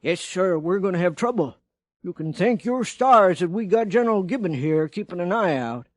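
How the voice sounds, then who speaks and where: An actor playing a talkative, folksy frontier bartender with a drawl, in an old radio drama. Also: male voice, high-pitched, animated, normal speed, dry recording. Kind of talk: 1950s radio drama acting. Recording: old radio broadcast recording.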